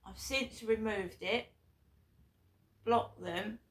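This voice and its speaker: Middle-aged woman, vlogger, sore throat, slow rambling delivery full of fillers. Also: medium pitch. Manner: halting and rambling, many ums and restarts. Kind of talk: casual talk to camera.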